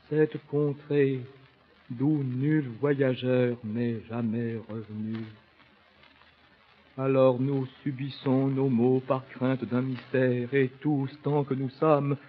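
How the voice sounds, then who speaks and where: Tormented prince, slow grave soliloquy, medium pitch, theatrical declamation, phone-like recording. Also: male voice, breathy edge. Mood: fearful, resigned. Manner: grave, deliberate, swelling declamation. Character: brooding tormented prince pondering life and death. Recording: narrow, phone-like sound.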